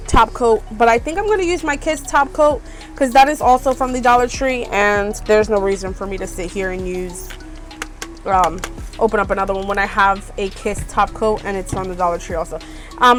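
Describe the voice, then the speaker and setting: A woman's voice: on the high side, fairly bright tone. Stuffy-nosed chatty woman vlogger. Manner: chatty, rambling, many ums and "you guys". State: stuffed up from allergies. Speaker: beauty vlogger. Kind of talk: casual haul chat. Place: small RV room.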